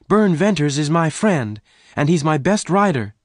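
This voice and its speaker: A man's voice: medium pitch, a little slow, dry recording, studio quality, voice pushed up, lightly acted. A man voicing a proud, distressed young woman ranch owner in a western story, urgent and emotional. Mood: indignant.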